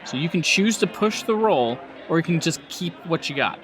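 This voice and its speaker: High, animated male game master. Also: moderate pace. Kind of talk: improvised tabletop game narration and rules talk. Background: music.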